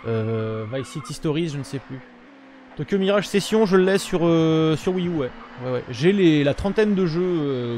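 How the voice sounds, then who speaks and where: A man's voice: medium pitch, darkish tone. A young man chatting casually on a live stream from his room, rambling with lots of fillers. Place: home room with webcam. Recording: noisy stream with game sound.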